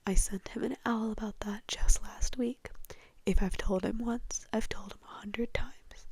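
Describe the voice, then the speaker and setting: A woman voicing a mild, weary middle-aged wizard father in a breathy whisper for a storybook reading. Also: fairly high pitch, steady tone, unhurried, darkish tone, dry recording. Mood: exasperated. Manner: tentative, mildly exasperated.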